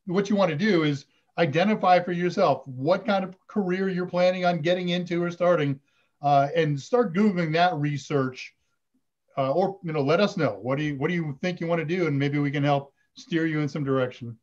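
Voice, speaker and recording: Older man with a fairly high voice. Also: a little fast, muffled recording. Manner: relaxed, chatty, reads viewer questions aloud. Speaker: host. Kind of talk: live stream Q&A chat. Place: home room with curtains. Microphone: video call webcam.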